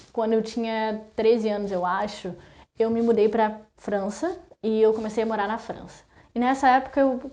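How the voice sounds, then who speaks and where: A young woman from Brazil talking casually to her viewers, listing things in quick chatty runs. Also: a little high, mellow, dull audio. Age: young adult. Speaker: vlogger. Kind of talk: casual talk to camera.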